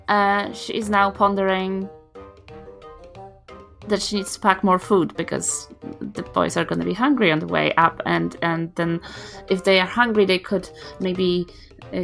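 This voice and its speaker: A woman with plenty of creak in her voice describes her game character in the third person. She improvises haltingly, thinking aloud as she goes, with faint music underneath. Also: mid-range voice, a bit bright. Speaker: tabletop podcast player.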